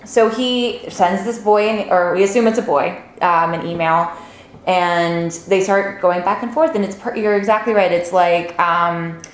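A woman's voice: on the low side, fairly even intonation, unhurried, a little hiss or hum. A woman hosting a podcast, chatting casually about films and given to laughing as she talks. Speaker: podcast host. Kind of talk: casual conversational movie review. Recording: echoey room.

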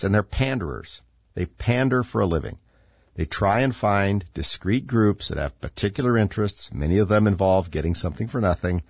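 Deep-voiced congested man hosting a podcast. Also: husky, no room sound, clean studio sound. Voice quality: nasal, stuffy from congestion. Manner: rambling, sardonic, with many fillers. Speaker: podcast host. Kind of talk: casual political commentary monologue.

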